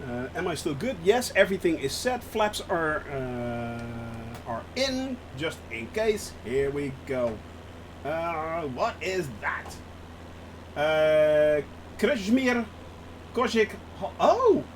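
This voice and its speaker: Excitable Dutch man, high lively voice. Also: slow, a little hiss or hum. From Netherlands. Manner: chatty and excitable, laughs often. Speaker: streamer. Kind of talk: casual live-stream chat while flying a simulator.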